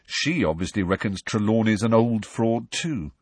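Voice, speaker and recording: A man's voice: fairly low pitch, expressive, moderate pace, no echo. A man voicing a teenage schoolboy who mutters confidingly in a storybook reading. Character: quietly conspiratorial teenage schoolboy.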